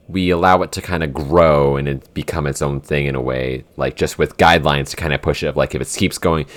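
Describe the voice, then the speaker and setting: A man, one of a podcast's creators, chatting informally and thinking aloud in loosely phrased, unscripted answers. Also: very deep voice. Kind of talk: casual unscripted behind-the-scenes chat.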